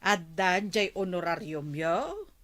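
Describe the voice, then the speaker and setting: A woman's voice: on the low side, expressive, slow, fairly bright tone, no echo. A woman playing a teasing, wheedling village character in a radio drama.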